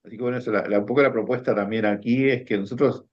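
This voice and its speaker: A man's voice: medium pitch, steady tone, very fast. A man, a coach co-hosting a talk, speaking earnestly with many restarts. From Uruguay. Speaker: coach and teacher, co-host. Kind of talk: casual conference talk. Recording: dull lo-fi sound.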